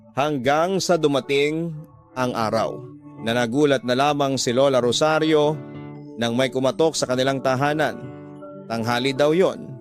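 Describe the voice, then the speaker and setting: A man giving scripted narration as the storyteller of a radio drama. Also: medium pitch. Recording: music bed under the voice.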